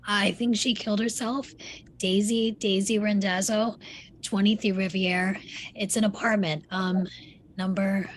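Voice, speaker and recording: A woman's voice: mid-range voice, steady tone, unhurried, lightly acted, poor audio. An actress playing a sensitive, troubled, introspective young woman in a screenplay table read. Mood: shocked, urgent.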